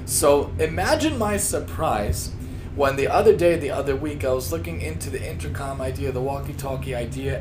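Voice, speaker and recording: A middle-aged American man hosting a casual solo podcast, talking in an enthusiastic, emphatic way and often repeating himself. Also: medium pitch. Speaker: podcast host. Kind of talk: casual solo podcast talk, tip of the day.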